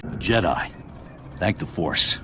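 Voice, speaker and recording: A man's voice: low-pitched, animated, slow pace, over music. An actor playing an old, ingratiating businessman in a video game, with a theatrical salesman's delivery. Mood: relieved, ingratiating. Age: older. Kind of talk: video game character acting.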